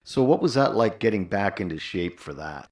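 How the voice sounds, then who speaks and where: Low-voiced, lively male podcast host. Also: moderate pace. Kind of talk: conversational interviewing.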